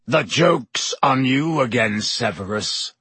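A man voices a haunted, snarling escaped prisoner with a rough, croaking edge. The voice is very husky and recorded dry and close. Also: medium pitch, animated, takes it slowly, pushed and bright, no echo, full character voice.

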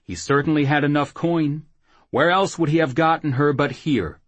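A man's voice: fairly low pitch, sing-song, takes it slowly, husky, heavily acted. A man playing an angry fantasy fighter in an audiobook, demanding answers in growls and hisses. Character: angry, threatening male fantasy fighter with a knife.

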